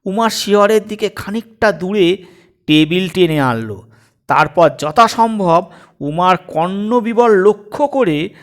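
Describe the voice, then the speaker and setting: A man reading a comic story aloud as its storyteller, in expressive scripted narration. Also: sits high.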